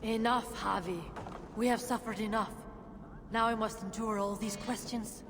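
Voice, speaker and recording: A woman's voice: a little high, fairly even intonation, normal speed, music in the background, natural voice. Woman acting a weary dwarf leader, long reverb. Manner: guarded, sardonic, solemn. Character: weary, bitter female dwarf leader of a refugee shelter. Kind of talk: dramatic video game voice acting. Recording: long reverberant hall, noisy ambience.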